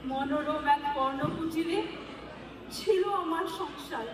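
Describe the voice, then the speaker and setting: An actress playing a proud, wronged mythological wife in a theatrical stage monologue, deliberate and bitterly ironic. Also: female voice, high voice, background noise. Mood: storytelling, aggrieved. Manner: dramatic, deliberate, declamatory with bitter irony. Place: stage before a live audience. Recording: echoey hall, distant mic, audible crowd.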